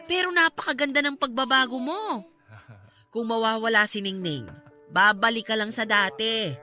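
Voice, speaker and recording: An actress playing a warm-hearted, insistent mother and neighbour in a radio drama, persuasive and emphatic, with a Filipino accent. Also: fairly high pitch, normal speed. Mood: earnest, insistent. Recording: music behind the voice.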